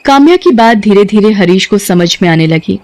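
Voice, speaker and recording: A woman's voice: mid-range voice, lively intonation, normal speed, darkish tone. A woman working as a narrator, reading a scripted story.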